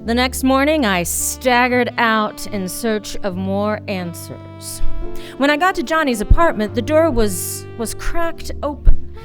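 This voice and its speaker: A woman playing a tough, wry private detective in a noir radio play, with a halting delivery. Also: on the high side, animated. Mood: brooding. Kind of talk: noir radio play acting, first-person narration. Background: music behind the voice.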